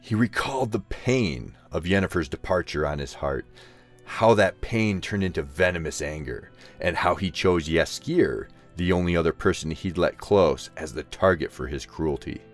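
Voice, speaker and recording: A man's voice: low-pitched, animated, normal speed, soft background music. A man narrating a scripted story as the narrator of an audio drama.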